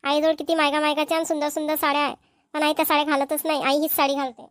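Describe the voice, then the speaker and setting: A woman's voice: high-pitched, flat delivery, very fast, a bit bright. A woman voicing a chatty, fussy young village girl in a cartoon comedy, with a Vidarbha Marathi accent. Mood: chatty, teasing.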